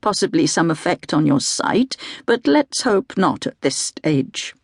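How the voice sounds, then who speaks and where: A quiet older male specialist, voiced by a woman narrator in an audiobook. He is mild and clinical, unhurried, with a creaky grain to the voice. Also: low-pitched, sing-song, no echo, clean studio sound, full character voice.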